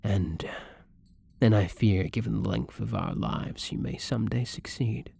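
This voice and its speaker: A man playing a melancholy, gentle vampire in an intimate ASMR roleplay, soft-spoken and breathy, with hesitant, wistful, formal phrasing. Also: on the low side, very animated, a little slow, heavily acted. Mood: grim, fearful. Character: melancholy, gentle male vampire, weary and self-loathing.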